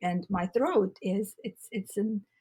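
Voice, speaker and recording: A woman co-hosts a relaxed spiritual podcast in a husky, raspy voice that sounds congested in the throat. She talks earnestly and often circles back to restart her phrases. Her pitch sits in a middle range. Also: slow. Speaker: podcast co-host. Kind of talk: casual spiritual chat.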